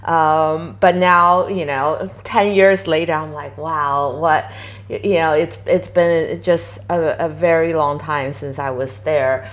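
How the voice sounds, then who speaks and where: A woman in her thirties who grew up in Taiwan, talking as an interview guest in a chatty way, with many fillers and lively quotes of herself. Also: low voice, slow pace. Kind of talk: casual interview conversation.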